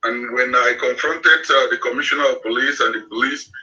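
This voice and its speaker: A Cameroonian man in his sixties speaking as an interview guest, slow and halting with many fillers. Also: mid-range voice, fairly even intonation, sharp tone. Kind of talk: remote interview. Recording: distant, dull remote call audio.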